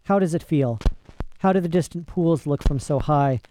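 A man playing a solemn old emperor in a storybook, measured and regretful yet commanding, with slight background noise. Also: a little high, dark tone, natural voice, poor audio. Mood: sad, resolute. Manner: measured, grave, sorrowful.

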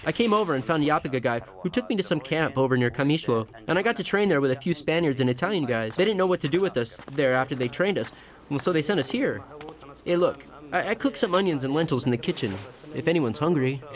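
A man's voice: fairly high pitch, lively intonation, fast, close to an everyday voice. A man acting as an earnest, bookish young American idealist in a drama, hesitant and eager to explain. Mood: friendly, hesitant. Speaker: actor in an audio drama. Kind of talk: naturalistic dialogue acting.

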